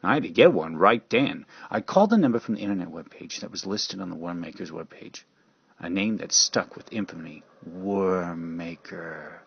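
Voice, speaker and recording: Male narrator for amateur radio drama: low, rough voice, brooding theatrical read, lo-fi sound. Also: lively intonation, normal speed, dry recording. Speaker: storyteller narrator. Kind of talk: dramatic first-person fan fiction narration.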